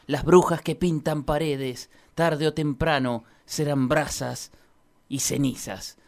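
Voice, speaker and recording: A woman's voice: sits low, darkish tone. A woman reading scripted narration for a satirical radio drama in a mock-solemn, poetic manner.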